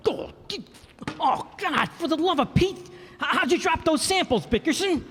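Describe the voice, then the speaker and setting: A nervous, stammering office coworker in a live radio play, as a man plays him. His voice sits high and jumps around a lot, with the long reverb of a stage hall. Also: expressive, moderate pace, noisy background. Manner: hesitant and stammering.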